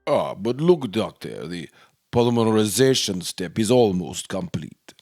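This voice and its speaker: A man's voice: lowish voice, sing-song, measured pace, a little mellow, no room sound, clean studio sound, soft background music, full character voice. A man playing a brusque, self-important professor in a radio drama, speaking formally and giving clipped orders. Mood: urgent command.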